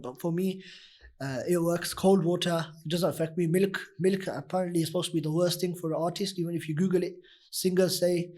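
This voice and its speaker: A young man with a husky, deep voice that still sits a little high for a man. He talks loosely and casually as a guest, circling back with fillers and restarts. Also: darkish tone. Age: young adult. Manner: rambling, many "you know" fillers and restarts. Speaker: nasheed artist, guest. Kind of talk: casual interview talk.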